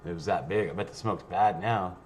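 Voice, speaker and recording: A man with a lowish voice hosts a casual livestream. He rambles and reads aloud in a blunt, offhand, sweary manner. Faint noise sits behind him. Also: fairly quick, darkish tone. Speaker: livestream host.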